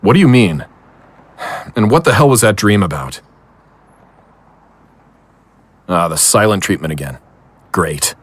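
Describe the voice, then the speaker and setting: A man's voice: on the low side, expressive, moderate pace. A man acting a weary but determined investigator in an audio drama, with a wry manner. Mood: exasperated. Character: weary, determined male investigator of strange happenings.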